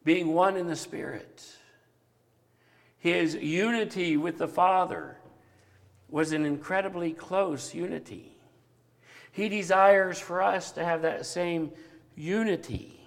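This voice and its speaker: A man preaching a sermon with a big voice that grows animated at times. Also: sits high, takes it slowly, pauses often, in a large hall. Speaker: pastor.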